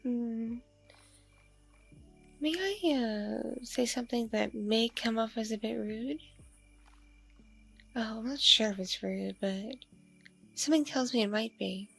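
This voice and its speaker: A woman playing a lonely, flirtatious vampire neighbor in an intimate ASMR roleplay, speaking in a breathy, creaky murmur with hesitant, drawn-out pauses. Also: on the high side, a bit bright, soft background music, exaggerated voice. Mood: gently probing. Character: lonely, flirtatious, awkwardly polite pale vampire woman next door. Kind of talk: ASMR roleplay, intimate one-sided dialogue.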